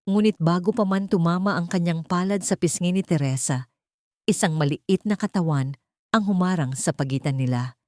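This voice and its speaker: A woman's voice: fairly low pitch, moderate pace, darkish tone, no room sound, studio quality. A woman reading a dramatic story as a scripted audiobook narrator.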